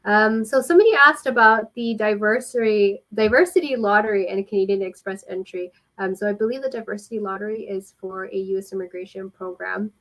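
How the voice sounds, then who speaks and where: A woman working as an immigration consultant, explaining things helpfully and informally in a live question-and-answer style, with frequent pauses. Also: medium pitch, a bit bright, poor audio.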